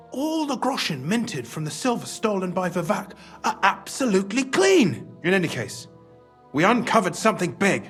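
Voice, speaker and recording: A scheming, excitable game official with a high voice that swings dramatically. He talks in wordy, exclamatory bursts with a conspiratorial, pragmatic air. The tone is husky, over faint music. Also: heavily acted. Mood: shocked, then calculating. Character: cunning, excitable scheming male mine official, smugly pragmatic. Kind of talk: video game cutscene acting.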